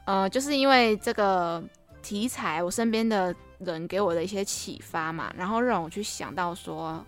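A young woman hosts a podcast in a casual, chatty monologue. Her pitch is average for a woman and her manner is playful and self-teasing, with faint music underneath. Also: normal speed. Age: young adult. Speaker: podcast host.

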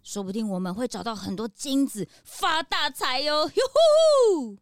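女聲演的興奮又高亢的小助手花生. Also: female voice, high voice, sing-song, moderate pace, dry recording, studio quality. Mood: gleeful. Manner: gushing, exclamatory, pleading. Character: excitable young assistant Peanut, eager and coaxing. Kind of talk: children's detective audio drama acting.

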